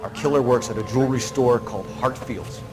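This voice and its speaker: An actor with an American accent playing a stern, driven police officer in a video game, speaking in a clipped, formal way. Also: male voice, medium pitch, a little fast, noisy background, natural voice. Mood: businesslike. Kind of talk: dramatic video game acting.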